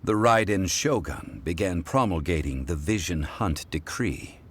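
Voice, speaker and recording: An actor playing a calm, dignified ancient god living as a mortal in a game, speaking in a measured, formal and reflective manner. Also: male voice, sits low, a little hiss or hum. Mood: grave, explanatory. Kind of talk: scripted game cutscene voice acting. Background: soft game music.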